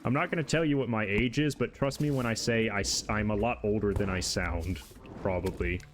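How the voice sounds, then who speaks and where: A man in his thirties chats loosely as he streams a game, wandering from one thought to the next. His voice is light for a man yet sits a little low. Clear noise runs steadily behind him. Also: fairly quick. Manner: chatty, rambling, answers chat with self-deprecating laughs. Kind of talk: casual chat while gaming.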